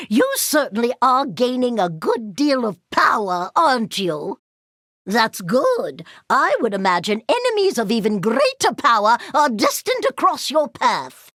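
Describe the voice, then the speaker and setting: A woman's voice: high-pitched, a bit bright, no echo, studio quality, heavily acted. A woman voicing a fussy, curious elderly scientist in a video game, prim, precise and motherly in her way of talking. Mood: approving. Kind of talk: animated video game character voice lines.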